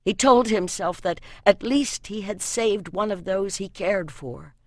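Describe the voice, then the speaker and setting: A narrator reads an epic fantasy novel with solemn, expressive drama. The delivery is scripted storytelling, recorded close and dry in a studio. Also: medium pitch, a little slow, no room sound. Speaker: audiobook narrator.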